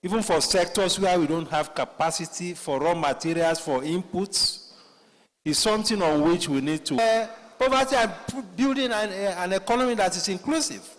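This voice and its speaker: Man on a panel, high voice, emphatic, big hall reverb. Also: normal speed. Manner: emphatic, rhetorical questions. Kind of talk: conference panel remarks.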